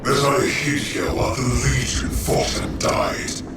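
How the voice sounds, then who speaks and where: An actor playing a vengeful undead lord in a video game, proud, bitter and menacing, with a grandiose, theatrical delivery. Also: male voice, medium pitch, sing-song, unhurried, creaky. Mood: bitter accusation. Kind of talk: video game villain acting. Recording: heavily echoing, distant, loud music over it.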